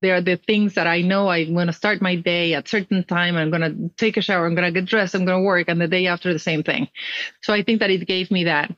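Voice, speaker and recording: A woman's voice: lowish voice, fairly even intonation, fast, fairly bright tone, no room sound, highs cut off. A middle-aged woman from Mexico, telling her story earnestly and expressively as an interview guest. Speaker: guest, nonprofit founder. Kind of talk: conversational interview.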